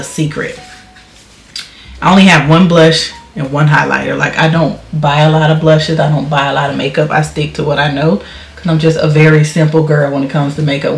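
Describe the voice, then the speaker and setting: A woman vlogger with a naturally high voice chatting casually while doing her makeup at home, loud, blunt and outspoken, rambling with plenty of fillers. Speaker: beauty vlogger. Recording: echoey room.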